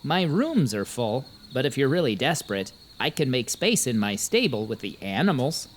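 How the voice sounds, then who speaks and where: A man's voice: mid-range voice, very animated, moderate pace, a little hiss or hum, lightly acted. A man playing a short, hesitant but obliging innkeeper in a children's audio drama.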